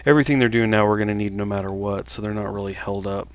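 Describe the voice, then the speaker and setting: A man in his thirties chatting casually on a livestream while he plays, a game developer answering viewer questions in a relaxed, halting way with many fillers. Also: on the low side, no room sound. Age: 30s.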